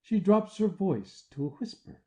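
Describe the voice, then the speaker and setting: A man's voice: high-pitched, expressive, a little slow, dark tone. A man reading stage directions as scripted narration for an audio play.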